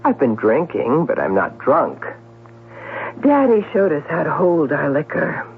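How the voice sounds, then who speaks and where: A woman's voice: sits low, big pitch swings, measured pace, vocal fry, heavily acted. An actress in a 1970s radio drama playing a cool, tipsy, menacing woman who speaks with mocking precision. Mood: sardonic. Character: cool, sardonic, tipsy woman threatening murder at gunpoint. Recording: tinny, noisy old radio broadcast.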